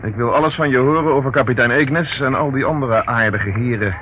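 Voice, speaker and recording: An actor playing a dogged, sardonic police inspector in a radio play, with a wry, probing manner. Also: male voice, medium pitch, fairly quick, natural voice. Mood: mock-friendly. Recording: tinny, noisy old radio recording.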